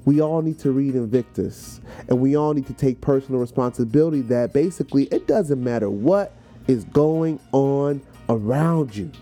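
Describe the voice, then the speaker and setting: A man's voice: mid-range voice, moderate pace, mellow, soft background music. An American man hosting a podcast, giving an informal, emphatic motivational talk about what words mean, repeating phrases to drive them home. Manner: conversational, informal. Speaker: podcast host. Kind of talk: motivational talk explaining a poem.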